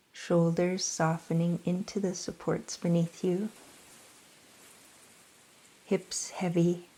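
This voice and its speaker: Calm woman leading yoga nidra, low-pitched and unhurried, gentle pauses. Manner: slow, soft, soothing delivery with long pauses. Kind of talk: guided meditation.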